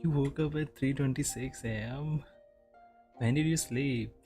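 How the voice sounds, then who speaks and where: Slow-talking, mellow man reacting to a game. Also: medium pitch. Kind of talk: casual reaction commentary. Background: game music.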